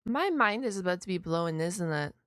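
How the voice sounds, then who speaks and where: A woman giving casual reaction commentary in a chatty, expressive way with short interjections. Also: on the low side, moderate pace, clean tone, no echo, clean studio sound. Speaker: reaction streamer.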